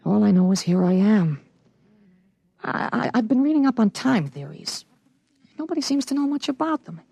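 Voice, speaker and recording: A man's voice: high voice, sing-song, moderate pace, creaky, darkish tone, no echo, exaggerated voice. Thirteen-year-old boy, old beyond his years, assured, articulate, 1950s radio drama, close dry sound. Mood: earnest, insistent. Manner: matter-of-fact, assured, articulate. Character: precocious thirteen-year-old boy with a grown man's memories. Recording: vintage radio broadcast.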